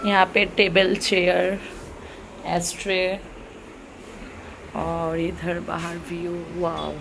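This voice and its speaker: A woman's voice: on the low side, flat delivery, extremely slow, creaky. A young woman giving a chatty tour in a casual travel vlog, often exclaiming as she goes, filmed on a handheld phone. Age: young adult. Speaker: travel vlogger. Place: hotel room, then outdoor rooftop pool. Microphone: handheld phone at arm's length. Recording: noisy phone audio with ambience.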